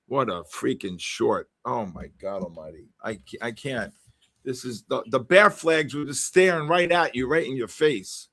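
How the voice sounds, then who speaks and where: A man with a Bronx accent hosting live market commentary, loud, exclamatory and wisecracking. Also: medium pitch, lively intonation, normal speed. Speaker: trading show host.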